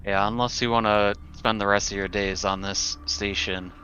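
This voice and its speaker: A man playing a tabletop roleplaying game, speaking casually in character in a hesitant, measured way. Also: lowish voice, flat delivery, pushed and bright. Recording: dull audio, music behind.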